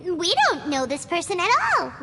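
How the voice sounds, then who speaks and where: A woman voicing a tiny, excitable female companion sprite in a video game, who talks about herself in the third person. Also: very high voice, normal speed, a little hiss or hum, heavily acted. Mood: nervous teasing. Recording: game audio with reverb and music.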